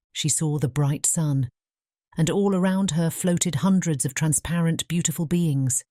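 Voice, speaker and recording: A woman's voice: low-pitched, dark tone, no echo, clean studio sound. A woman with a British accent reading a storybook as an audiobook narrator.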